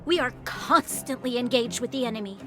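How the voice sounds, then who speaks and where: A woman's voice: fairly high pitch, a little fast, close to an everyday voice. A woman playing a proud, earnest young noblewoman soldier in a video game, speaking formally. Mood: proud, defensive. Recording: game music behind the voice.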